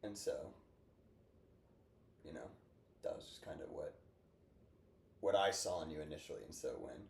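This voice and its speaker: A man's voice: a bass voice, normal speed, gravelly, a little mellow. A young man in his twenties hosting a casual podcast chat, rambling and upbeat, full of fillers. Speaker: podcast host. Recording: echoey room with faint noise.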